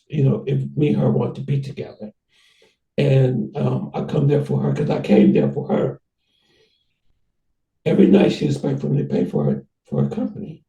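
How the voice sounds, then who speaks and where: Gravelly, dark-voiced man in an echoey room. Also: on the high side, recorded from a distance, dull audio. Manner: rambling, halting, many restarts. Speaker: host. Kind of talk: casual conversation.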